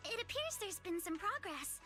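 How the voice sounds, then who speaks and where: Very high, proper rich girl. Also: big pitch swings, normal speed, bright, forceful tone, soft background music, exaggerated voice. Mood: earnest. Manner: formal, courteous. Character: polite young rich girl, earnest and proper.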